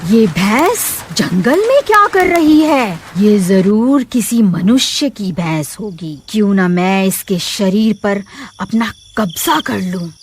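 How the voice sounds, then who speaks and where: A woman voicing a scheming forest witch in an animated horror tale, plotting aloud dramatically. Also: mid-range voice, slow, slight background noise. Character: scheming female forest witch seeking to possess a body. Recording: loud animal and night ambience behind.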